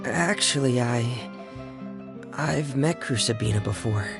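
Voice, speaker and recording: A man playing a solemn, loyal young man in a video game, speaking in a measured, earnest way. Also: mid-range voice, expressive, creaky. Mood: grave, recounting. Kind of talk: dramatic video game dialogue. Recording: music behind the voice.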